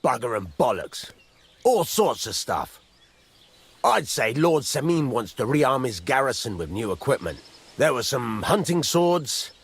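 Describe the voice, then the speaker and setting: An actor playing a blunt, earthy medieval blacksmith boss in a video game, with a rough voice. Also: male voice, mid-range voice, a bit bright, full character voice. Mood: grumbling. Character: gruff, plain-spoken medieval blacksmith boss.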